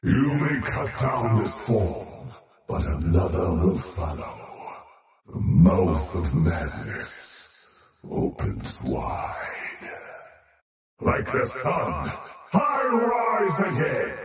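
A man's voice: lowish voice, huge pitch swings, drawn-out words, very echoey, distant mic, faint music behind, heavily acted. A man voicing a faceless otherworldly oathbreaker for a video game, menacing and arrogant, with a grandiose, taunting, theatrical delivery. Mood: ominous, portentous. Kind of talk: video game character voice lines.

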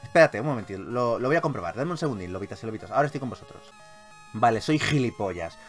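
A man with an accent from Spain giving casual, excitable gaming commentary, repeating his phrases and swearing freely. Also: medium pitch, fast talker. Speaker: gaming YouTuber, host. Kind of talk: casual, excitable Pokémon let's play commentary. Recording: game music behind voice. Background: video game music.

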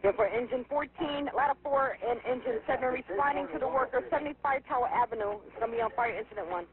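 A man's voice: very high-pitched, fast, a crowd in the background. A man working as a fire dispatcher over two-way radio, giving clipped, procedural announcements and repeating messages back. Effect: two-way radio transmission. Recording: dull, lo-fi radio scanner audio, faint noise.

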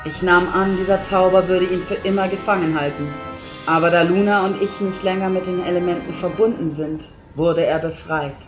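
A woman playing a grave, regal pony princess with a solemn, formal, measured delivery in an audio drama. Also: lowish voice, fairly even intonation, music in the background, lightly acted. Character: grave, regal pony princess, a long-reigning female ruler. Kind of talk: fan audio drama acting.

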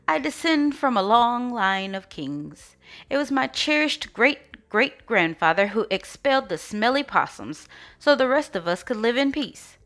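A woman's voice: medium pitch, fairly bright tone. A woman voicing a proud, regal lion king in a storybook, with a stately, formal manner. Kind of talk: storybook read-aloud.

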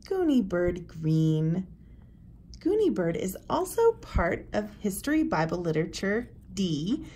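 A woman, around her thirties or forties, presenting recommendations to camera with deliberate, emphatic phrasing and pauses. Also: mid-range voice. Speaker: presenter for a homeschool curriculum blog. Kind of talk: book recommendation walk-through to camera.

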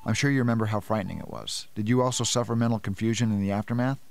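A man's voice: lowish voice, fairly even intonation, very fast, creaky. A middle-aged man acting in a radio play as a methodical scientist, explaining things precisely. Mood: explanatory.

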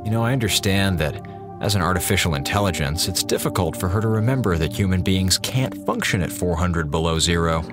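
An actor playing a sarcastic, theatrical slacker communications officer on a space station in a radio drama. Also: male voice, low-pitched, expressive, fairly quick, music in the background. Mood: wry, sarcastic. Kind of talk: radio drama monologue as a log.

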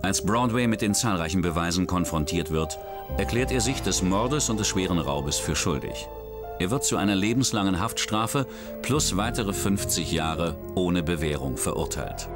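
Deep-voiced male documentary narrator. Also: animated, moderate pace. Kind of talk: scripted true crime narration. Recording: music bed under voice.

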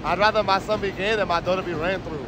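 A man's voice: sits high, steady tone, fast, a crowd in the background. A brash young man answering street-interview questions at night in blunt slang, into a phone held up to him. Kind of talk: casual street chat. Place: city street at night, outdoors.

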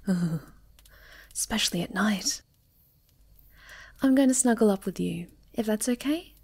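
Woman playing a gentle, slow-spoken sweet moth girl. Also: medium pitch, expressive, vocal fry, husky, exaggerated voice. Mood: affectionate, playful. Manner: gentle, cuddly, often dropping to a whisper. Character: sweet, affectionate, polite young female moth monster girl. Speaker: voice actor in an audio roleplay. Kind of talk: intimate ASMR roleplay acting.

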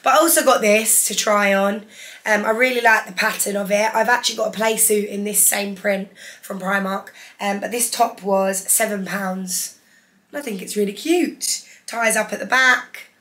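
A young woman in her twenties, hoarse from losing her voice, chatting enthusiastically through a clothing haul in her living room. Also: mid-range voice, steady tone, moderate pace, a bit bright. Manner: chatty, enthusiastic, holds up each item. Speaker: fashion vlogger. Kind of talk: casual haul vlog. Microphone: camera in front, seated.

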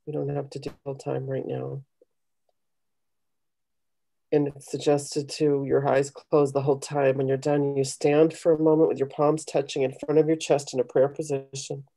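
A woman's voice: rumbling low, flat delivery, moderate pace, few pauses, mellow. A middle-aged woman speaking on a video call, coaching and guiding a meditation in an instructional, encouraging manner. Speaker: guest leading a guided meditation. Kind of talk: conversational coaching and guided meditation. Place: small home room, indoors. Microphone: computer video call.

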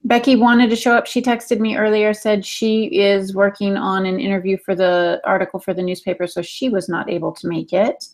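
A woman's voice: medium pitch, flat delivery, moderate pace, few pauses. A middle-aged woman casually recapping and reading out chat comments for a podcast. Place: room at home, indoors. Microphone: earbuds on video call.

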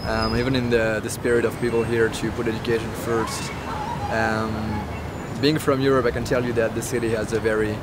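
Man in his 20s, French accent, flat delivery, thoughtful, outdoor street interview, heavy traffic noise. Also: lowish voice, brisk. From France. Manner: thoughtful, with many uhs.

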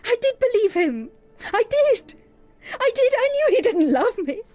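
An overwrought, wounded stage performer in a radio drama. Her voice is very high and swings widely with melodramatic intensity. Music plays faintly behind her. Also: very animated, measured pace, a little mellow, full character voice. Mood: distraught, hysterical. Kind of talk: British radio drama acting.

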